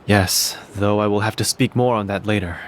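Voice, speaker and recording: A man's voice: fairly low pitch, fairly even intonation, normal speed, a little hiss or hum, lightly acted. An actor playing a composed, courteous, secretive man in a video game dub, speaking formally and with measure. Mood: composed, guarded.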